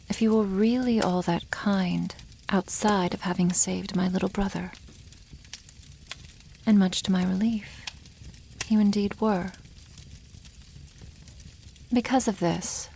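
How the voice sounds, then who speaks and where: A woman voicing a protective, tender female dragon in a soft, intimate ASMR roleplay, with formal wording and a hushed, often half-whispered delivery. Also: lowish voice, gravelly, a little hiss or hum.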